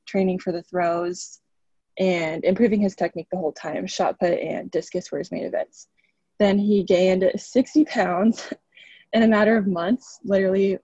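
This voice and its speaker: A woman's voice: fairly low pitch, warm tone, slightly rough, no room sound, lo-fi sound. A woman in her twenties from Oregon, a student athlete chatting upbeat as a podcast guest, laughing often. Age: 20s. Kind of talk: podcast interview.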